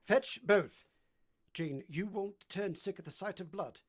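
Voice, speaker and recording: An actor playing a brooding, commanding gentleman master of a manor in an old-time radio drama, abrupt and imperious. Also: high voice, a little slow. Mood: urgent, stern.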